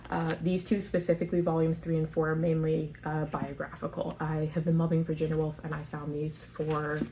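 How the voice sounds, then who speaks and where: A woman with a fairly low voice talks casually to her camera about books from the floor of her home. She rambles in a friendly way, leans on filler words and often stops to correct herself. A faint hiss of background noise sits under her voice. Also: warm tone, rough recording. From Canada. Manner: chatty, filler-heavy, corrects herself on names. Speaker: book vlogger.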